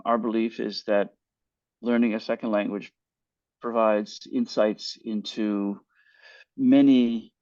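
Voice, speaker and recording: An older man on a headset video call, answering a webinar question in a thoughtful, measured way with frequent restarts. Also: medium pitch, warm tone, dry recording. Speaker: guest speaker. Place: home room with virtual background. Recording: dull, dry video call audio.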